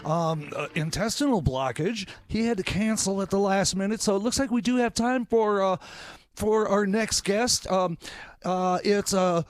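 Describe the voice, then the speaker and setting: Brief: man as scatterbrained late-night host for radio comedy, high-pitched, dithering, stumbling over his words. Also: moderate pace, dry recording, close to an everyday voice. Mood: flustered, chatty. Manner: hesitant, stammering, fumbles names. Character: bumbling, distracted male late-night talk show host. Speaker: talk show host. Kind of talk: comedy radio theater talk show parody.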